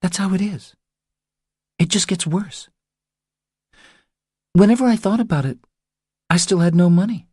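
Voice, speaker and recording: A man voicing a battered young mother in an audiobook, soft and husky, earnest and pleading, confessing in short phrases. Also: sits high, unhurried, long pauses, no echo, studio quality, voice pushed up. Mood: bitter, pleading.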